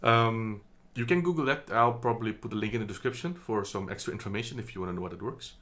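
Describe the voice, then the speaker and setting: A man's voice: on the low side, fast, fairly bright tone. A man from the Netherlands giving a casual product review, chatty and hesitant, with many ums and self-corrections, recorded on a phone indoors. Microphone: phone camera.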